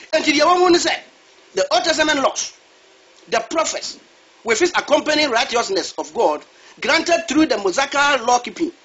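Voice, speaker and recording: A man's voice: very high voice, a bit bright. A man preaching a sermon who calls on a reader for verses, speaking. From Ghana. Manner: switches between Twi and English, asks a reader to read verses. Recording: dull lo-fi recording.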